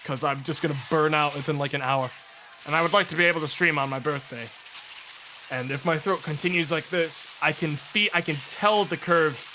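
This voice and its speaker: A young man with a hoarse, raspy voice, casually chatting to his viewers on a live stream and thanking subscribers in a rambling, offhand way. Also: on the high side, normal speed, background noise. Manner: rambling, offhand, trails into mumbles.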